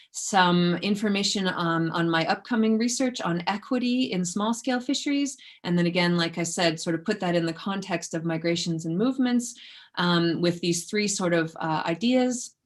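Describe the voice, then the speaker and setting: A woman researcher giving an informal academic talk in a conversational, self-effacing way. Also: mid-range voice. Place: indoors in an office, online presentation.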